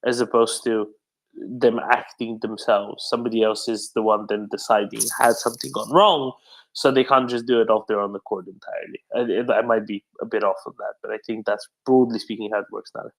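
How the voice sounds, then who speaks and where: A man's voice: on the low side, steady tone, fairly quick, poor audio. A man casually explaining something on a live audio space, hedging his claims.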